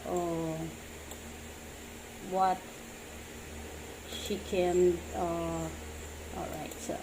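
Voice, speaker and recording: A Filipino woman presents in a casual vlog style as a host. She speaks slowly and haltingly, often circling back over the same phrase. Clear background noise sits around her voice. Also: fairly low pitch, flat delivery. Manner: halting, repetitive. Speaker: pageant reaction video host. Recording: noisy, ambient room sound.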